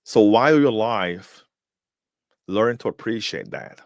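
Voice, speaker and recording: A man whose first language is Portuguese hosts a live motivational stream in a low voice that rises and falls widely. He pushes rhetorical questions at his listeners and often restarts on repeated words. The sound is close and dry, with no room around it. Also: lively intonation, measured pace, no room sound. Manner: emphatic, fires rhetorical questions, repeats words while searching. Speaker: motivational speaker and host.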